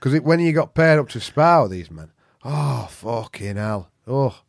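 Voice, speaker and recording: A man's voice: mid-range voice, animated, normal speed, vocal fry, no room sound, studio quality. A man from Yorkshire with a regional accent, chatting casually as an interview guest and telling anecdotes in a blunt, sweary, earthy way. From Yorkshire, England. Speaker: interview guest, veteran jiu-jitsu practitioner.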